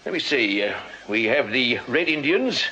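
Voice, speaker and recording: A man's voice: medium pitch, very animated, moderate pace, pushed and bright, breathy edge, full character voice. A man playing an irritable, self-important Victorian music hall manager in a radio drama, officious and impatient, with an English accent. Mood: haughty. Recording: muffled radio drama with faint background ambience.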